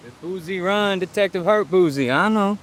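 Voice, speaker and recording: An actor playing a wary, sly street hustler and police informant in a horror audio drama, talking in streetwise slang. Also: male voice, high voice, moderate pace, slight background noise, close to an everyday voice. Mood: wary, needling. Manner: streetwise slang, speaks of himself in third person.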